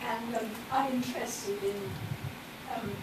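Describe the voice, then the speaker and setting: Audience woman, slow and thoughtful; very echoey, distant, noisy. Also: on the high side, fairly even intonation. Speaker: audience member. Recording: very echoey room, distant mic, noise.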